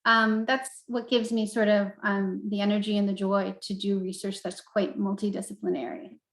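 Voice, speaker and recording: A middle-aged woman from Turkey, a professor answering interview questions in a thoughtful, measured way with frequent pauses. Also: medium pitch, level tone. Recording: roomy echo.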